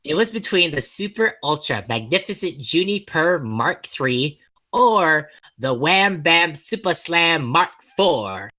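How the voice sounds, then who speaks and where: A man's voice: a little high, very animated, takes it slowly, pushed and bright, full character voice. A man playing a sulky, self-deprecating, clumsy young male student in an audio drama, whiny and hesitant. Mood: sullen.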